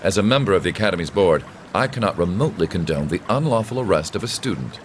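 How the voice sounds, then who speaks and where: A man playing a composed, authoritative young nobleman in a video game, courteous and formal with quiet menace. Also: low voice, lively intonation, slight background noise. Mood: grave. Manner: polished, measured formal speech. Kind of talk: dramatic video game voice acting.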